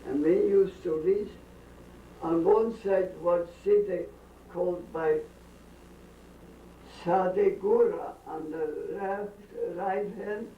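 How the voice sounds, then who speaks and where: Elderly woman, slow halting storytelling, distant mic, dull faintly hissy home recording. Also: on the low side, steady tone, roomy sound. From Bukovina, then Austria. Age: older. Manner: slow, halting, drifting off topic with strong opinions. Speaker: interviewee. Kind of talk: rambling family storytelling. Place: family home during a dinner gathering.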